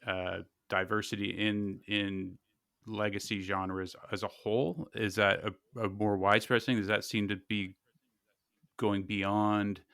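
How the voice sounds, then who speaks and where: A man asking casual interview questions as a podcast host, haltingly searching for words. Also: lowish voice, fairly even intonation, creaky, a bit bright, smooth, no echo, studio quality.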